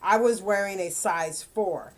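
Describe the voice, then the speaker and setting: A woman's voice: medium pitch, measured pace. Chatty woman in her fifties. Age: 50s. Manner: rambling, self-correcting, laughs often. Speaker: book reviewer. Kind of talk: casual chatty book review.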